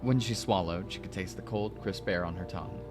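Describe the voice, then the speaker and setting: A man with a very deep voice narrates a story aloud. He reads as the narrator and breaks off for playful asides. Music plays clearly underneath. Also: fairly quick. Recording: music behind the voice.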